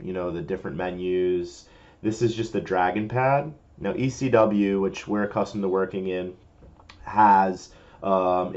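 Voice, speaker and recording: Low-voiced man giving a software tutorial. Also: highs cut off. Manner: informal, explanatory, talking through each step. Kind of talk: casual step-by-step screen-recording tutorial.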